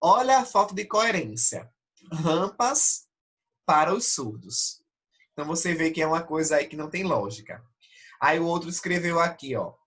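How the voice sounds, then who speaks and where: A man's voice: fairly high pitch, big pitch swings, normal speed, a bit bright. A male teacher with a Brazilian Portuguese accent, nasal from allergic rhinitis, explains essay criteria step by step in an online class and keeps checking with his students.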